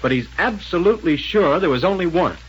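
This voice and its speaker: A man's voice: a little high, lively intonation, fairly quick, a little hiss or hum, close to an everyday voice. A man playing an eager, respectful young hero in a 1930s radio space adventure. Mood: reasoning, alert. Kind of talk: 1930s radio serial acting. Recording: dull muffled 1930s radio recording.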